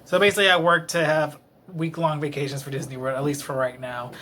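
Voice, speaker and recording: Bright-voiced man rambling, a bit tipsy. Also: fairly high pitch, level tone, fast talker, a little hiss or hum. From Dallas, Texas. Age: late 20s. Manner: self-deprecating, rambling, many fillers. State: a little tipsy. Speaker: vlogger. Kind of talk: rambling casual monologue to camera. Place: his own apartment, indoors. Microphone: camera close-up.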